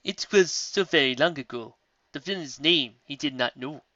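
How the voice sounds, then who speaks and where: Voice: medium pitch, moderate pace, pushed and bright, no echo, lightly acted. A reader voicing a wise female zebra healer who speaks in formal rhyme, on a dry, slightly dull recording. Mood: solemn. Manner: rhyming, formal and grave.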